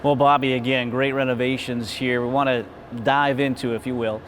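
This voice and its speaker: A man's voice: mid-range voice, level tone, moderate pace, fairly bright tone, slight background noise. Upbeat male sports show host. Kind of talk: scripted narration and interview.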